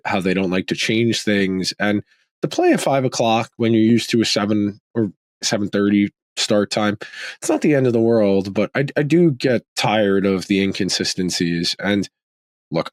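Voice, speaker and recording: A man hosting a sports podcast, talking casually with a slightly raspy voice, thinking aloud with frequent restarts. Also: fairly low pitch, no echo. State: slightly raspy from a throat tickle. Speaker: podcast host.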